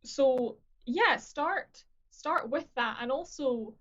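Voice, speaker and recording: A woman's voice: sits high, lively intonation, takes it slowly, fairly bright tone. Chatty woman on a muffled video call. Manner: restarts sentences, chatty and self-deprecating. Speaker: guest, opera singer and voice teacher. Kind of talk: casual video-call conversation. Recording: dull lo-fi video-call audio.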